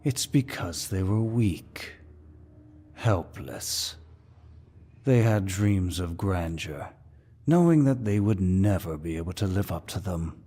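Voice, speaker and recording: A man playing a suave, dominant demon lord who speaks in a deep, husky voice with mocking courtesy, as in an ASMR villain roleplay. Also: expressive, dark tone, heavily acted. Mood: mocking, bored. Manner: slow, mocking, mock-courteous, theatrical.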